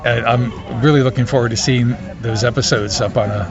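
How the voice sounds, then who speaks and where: A man's voice: mid-range voice, fairly even intonation, a little fast. A man chatting casually as an interviewed festival attendee, in an unscripted, conversational way. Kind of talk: casual chat. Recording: dull sound amid clear crowd chatter.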